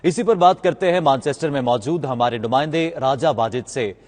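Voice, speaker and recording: A man's voice: medium pitch, brisk, a little mellow. A man working as a news anchor, reading a scripted introduction to a report.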